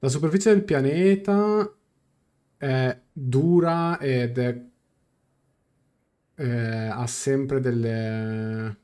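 A young man streaming a game, translating dialogue aloud in a halting, casual reaction. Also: medium pitch, mellow. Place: small room indoors, on webcam.

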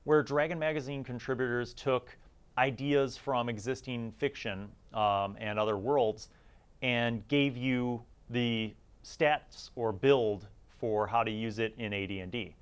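A man's voice: mid-range voice, unhurried, smooth. A middle-aged man giving casual, conversational review commentary as the host of a gaming series, chatty and informal with emphasis on key words.

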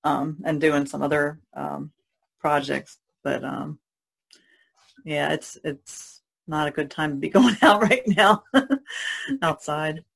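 A woman's voice: low-pitched, slow pace, gravelly, pauses often, fairly bright tone, slightly rough. A woman guest chatting casually on a podcast, hesitant and often trailing off. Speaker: artist guest.

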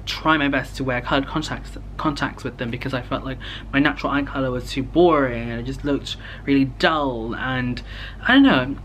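A young man chats informally to his camera in a home, rambling from one thought to the next. His voice sits at a medium-high pitch for casual talk, with some room noise behind it. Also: fairly bright tone. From Hong Kong. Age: young adult. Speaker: vlogger, beauty content creator. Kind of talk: casual chatty vlog to camera. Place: indoors in a home living space. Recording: clear background room noise.

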